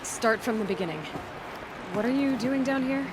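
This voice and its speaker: A woman's voice: a little high, normal speed, noisy background, lightly acted. An actress playing a practical young female adventurer in a video game, speaking in a dry, matter-of-fact way. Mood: dry, skeptical. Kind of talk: video game character dialogue.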